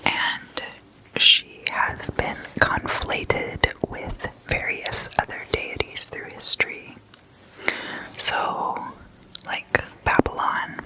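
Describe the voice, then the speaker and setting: An ASMR host speaking entirely in a whisper, explaining things casually in short, deliberate, hushed phrases. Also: rough recording.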